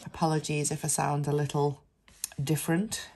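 A woman's voice: low-pitched, level tone, normal speed, darkish tone, a little hiss or hum. A woman with a head cold, chatting casually as she scratches lottery cards and reads out numbers and letters. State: congested with a cold. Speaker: scratch card vlogger. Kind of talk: casual narration over scratch card video.

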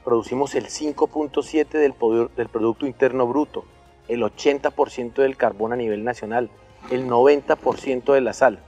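A man's voice: medium pitch, steady tone, moderate pace, mellow, husky, soft background music. A middle-aged man with a Colombian accent, a military commander delivering a formal, declarative briefing. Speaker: army brigade commander giving a briefing. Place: outdoors beside artillery guns at a military base.

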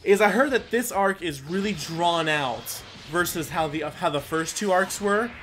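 A man's voice: high voice, measured pace, a bit bright, slight background noise. A man giving casual, rambling gameplay commentary as the host, self-deprecating and given to mild swearing. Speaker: gaming video host.

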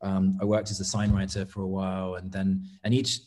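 An English man in his thirties, a guest artist, talking casually over a video call from his home studio, thoughtful, with many pauses and restarts. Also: low-pitched, monotone, a little mellow. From England. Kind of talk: casual interview chat. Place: home studio room, indoors. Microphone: laptop webcam video call.

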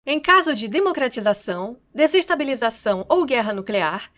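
A woman's voice: fairly high pitch, sing-song, fairly quick, fairly bright tone. A Brazilian woman recording scripted narration, stumbling over words and slipping into playful casual asides.

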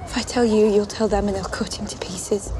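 An actress playing a headstrong, defiant young woman with a slightly gravelly voice. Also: medium pitch, steady tone, noisy background, lightly acted. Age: young adult. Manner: quick, chatty, defiant. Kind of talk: period TV drama.

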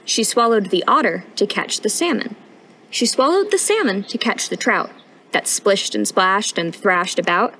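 Animated young woman reading a children's story. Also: medium pitch, normal speed, slight background noise, rough recording. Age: young adult. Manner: expressive, sing-song rhyming delivery with emphatic punchlines. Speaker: storytime reader, intern. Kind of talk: read-aloud children's picture book storytime. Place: outdoors on a wooden porch.

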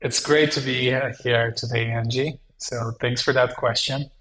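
A man's voice: medium pitch, steady tone, measured pace, a bit bright, echoey room, poor audio. A man in his thirties, from Southeast Europe, answering interview questions over a video call in an earnest, reflective way. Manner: earnest and reflective, with frequent fillers. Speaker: guest entrepreneur. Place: small room at home.

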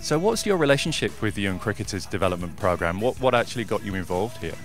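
A man in his thirties with a fairly low voice, presenting sports on location. He speaks into a handheld microphone outdoors, with faint music underneath. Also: expressive, quick pace. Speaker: interviewer, presenter.

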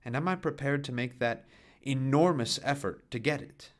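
An actor playing a grave, thoughtful man, speaking a radio play monologue in deliberate, weighty phrases. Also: medium pitch, natural voice. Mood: grave, pondering. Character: grave, thoughtful man recounting a woman's dilemma.

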